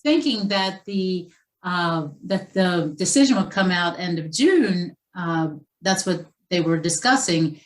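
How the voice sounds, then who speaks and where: A woman author talks through her latest novel in a loose, chatty interview style, stopping and restarting as she thinks. Her voice sits a little low for a woman. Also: animated, slow pace. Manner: chatty, with frequent fillers and restarts. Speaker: author guest. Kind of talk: conversational interview.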